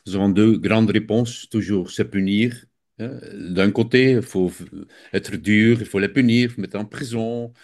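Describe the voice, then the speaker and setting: A man with a Flemish accent, a native Dutch speaker, explaining his field as an interview guest while often searching for words. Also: on the low side. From Belgium. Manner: hesitant, searching for words, many fillers. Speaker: guest expert.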